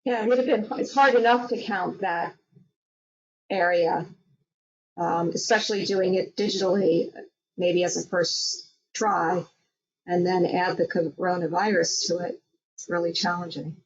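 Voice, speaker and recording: A woman's voice: on the low side, steady tone, a little slow, slightly rough. A woman hosting a webinar, moderating questions and giving informal remarks to participants. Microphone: web conference call. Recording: muffled, lo-fi web call audio.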